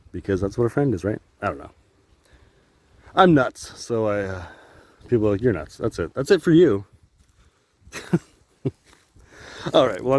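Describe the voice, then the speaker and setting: A man's voice: mid-range voice, a little mellow, no room sound. A man vlogging outdoors on a walk, rambling, swearing and laughing at his own jokes. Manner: jokey, profane, laughs often.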